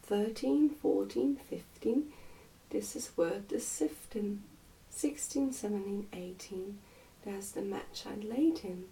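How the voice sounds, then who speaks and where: A woman's voice: mid-range voice, takes it slowly, dark tone, recorded from a distance, a little hiss or hum, rough recording. A woman voicing a cheerful, fearless twelve-year-old miner boy in a storybook, with a confident, sing-song manner. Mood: merry chanting.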